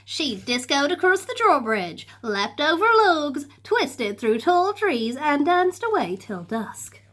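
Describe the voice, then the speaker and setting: A woman narrating a children's storybook in an expressive, playful way. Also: high voice. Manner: animated, slow and playful. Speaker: storybook reader.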